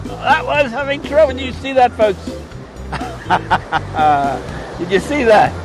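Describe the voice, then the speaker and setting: A high-pitched Welsh man talks eagerly into his handheld phone on a surf beach. He calls out to viewers by name as he narrates the race, and music plays clearly under his voice. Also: takes it slowly, a crowd in the background, poor audio. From Wales. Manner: chatty, excitable, addresses viewers by name. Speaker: livestream host. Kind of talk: live commentary to viewers. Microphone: phone mic held in hand.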